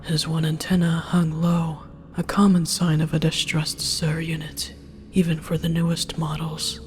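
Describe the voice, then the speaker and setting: A narrator reading a first-person story aloud in a measured, hushed, breathy delivery. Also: mid-range voice, monotone, a little hiss or hum. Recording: music under the voice.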